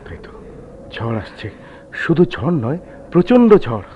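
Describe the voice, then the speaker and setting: A man's voice: fairly high pitch, sing-song. An actor playing a brooding, lovelorn man in a radio drama, speaking in a theatrical, poetic way. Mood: uneasy. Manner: theatrical, poetic, measured delivery. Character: brooding, lovelorn man haunted by an old love. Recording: muffled, noisy old radio play recording.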